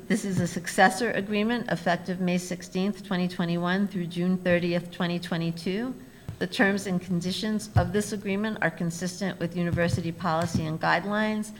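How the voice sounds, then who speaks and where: A woman reading out board agenda items in a steady, formal way. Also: fairly low pitch, slight background noise, poor audio. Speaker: university staff presenting agenda items.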